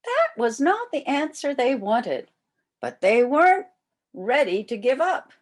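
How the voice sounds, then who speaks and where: An older woman reading a picture book aloud to young children as a volunteer storyteller, with expressive, drawn-out emphasis. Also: sits high.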